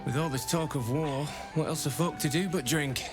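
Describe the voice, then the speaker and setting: An actor with a British accent playing a chatty, cheerfully morbid, opportunistic tavern keeper in a video game. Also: male voice, fairly high pitch, moderate pace, lightly acted. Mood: wry, chatty. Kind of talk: video game character acting. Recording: music and pub crowd behind.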